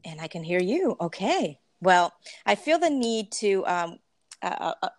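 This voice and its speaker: A woman hosts a casual podcast conversation over a remote call, bubbly and quick to laugh. Her pitch leaps up and down with lively animation. The sound is dry and close, with no room around it. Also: no echo. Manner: bubbly, laughs often, many fillers. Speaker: podcast host. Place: staying in Puerto Rico, remote call.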